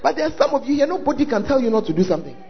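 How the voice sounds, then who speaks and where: A man's voice: extremely high pitch, sing-song, very fast, gravelly, big-room sound, lo-fi sound. A man with a Ghanaian accent preaching a sermon, prodding the congregation to answer him and talk to their neighbours. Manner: calls out to the audience, prods them to respond. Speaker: pastor. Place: large church hall.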